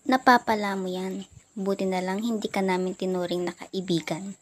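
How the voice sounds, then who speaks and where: A cold, dismissive schoolgirl gossip acted by a young woman in a student radio drama. The pitch is average, with slight noise in the background. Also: steady tone, moderate pace, natural voice. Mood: cold contempt. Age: young adult.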